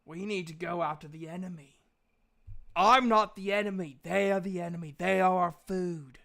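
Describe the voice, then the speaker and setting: A man's voice: high-pitched, steady tone, normal speed, gravelly, sharp tone, heavily acted. A man voicing a frenzied rat pack leader who pleads with and commands his family in a tabletop game. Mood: urgent rallying.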